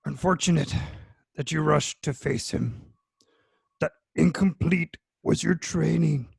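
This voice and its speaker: A wizened green alien master, weary and wise. The voice is slightly high, husky and full of croak, with halting, inverted phrases read from a film script in a dry room. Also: male voice, no room sound, full character voice. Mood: grave, weary. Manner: inverted syntax, halting, grave. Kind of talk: online amateur script table read. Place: home room, on a video call.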